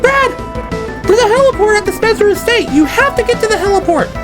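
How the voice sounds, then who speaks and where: A man voicing an urgent young woman in an audio drama, calling out fast for help over a radio. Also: very high-pitched, lively intonation, pitched above the performer's own voice. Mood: urgent, alarmed. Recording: loud music behind.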